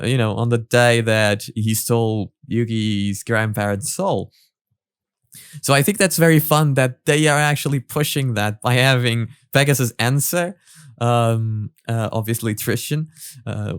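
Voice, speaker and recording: A man's voice: mid-range voice, fairly bright tone, smooth, no echo, studio quality. A man giving casual, rambling, analytical commentary as he reacts to a parody cartoon.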